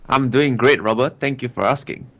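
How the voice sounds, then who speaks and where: A man's voice: medium pitch, normal speed, fairly bright tone. A man in his twenties from Singapore with a Singaporean English accent, answering interview questions about his start in trading in a chatty way, often tagging "right" and "you know". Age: 20s. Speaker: guest trader.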